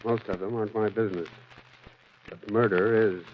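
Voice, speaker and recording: A low-voiced, heavyset American private eye played by a male actor, blunt and suspicious, firing terse questions. It is old detective radio drama, muffled and clearly noisy. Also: steady tone, moderate pace, lightly acted. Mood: hard, accusing. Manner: hard-boiled, terse, interrogating.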